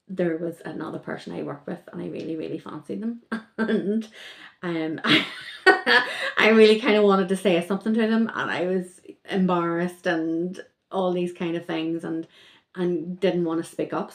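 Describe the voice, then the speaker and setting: Low-voiced woman with a gravelly edge. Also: echoey room. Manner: rambling, self-correcting, lively. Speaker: podcast guest. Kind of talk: casual conversation.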